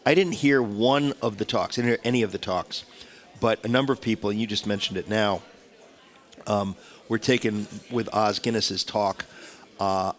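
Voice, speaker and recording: Stuffy-nosed man hosting radio talk. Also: fairly low pitch. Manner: rambling, chatty, many restarts. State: stuffed up with a cold. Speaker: radio show host. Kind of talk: casual radio interview chat. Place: radio studio.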